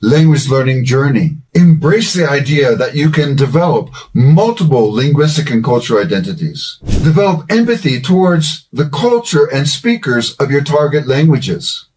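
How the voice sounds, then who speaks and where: An older man hosting a language-learning channel, giving scripted advice in a measured, earnest and encouraging way. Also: fairly high pitch, sing-song, darkish tone.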